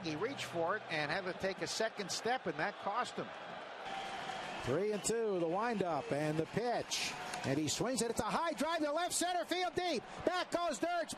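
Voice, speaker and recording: A man doing live baseball play-by-play on the radio, in clipped phrases that build into drawn-out calls. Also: extremely high pitch. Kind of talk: live radio sports commentary. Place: ballpark broadcast booth. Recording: very noisy ballpark radio broadcast.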